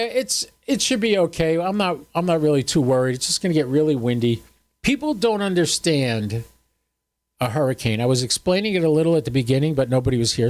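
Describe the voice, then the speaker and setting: A man in his seventies hosting a livestream, chatting casually with viewers in a folksy, joking, rambling way. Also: fairly high pitch. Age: 70s. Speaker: host of a ham radio livestream.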